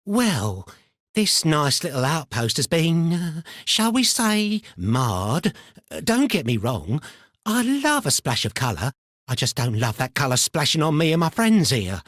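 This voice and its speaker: Game role: a paranoid, chatty mad miner, rambling and theatrical, very varied intonation, dry studio sound. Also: male voice, a little high, sing-song, measured pace, a bit bright, no echo, full character voice. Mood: uneasy, ominous. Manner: rambling, muttering, colloquial, theatrically swinging between chummy and suspicious. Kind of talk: video game character voice lines.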